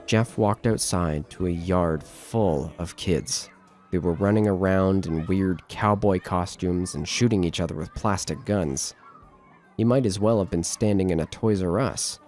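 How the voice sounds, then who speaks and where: A man's voice: low voice, a little slow, a little mellow, faint music behind. A man narrating a scripted horror story.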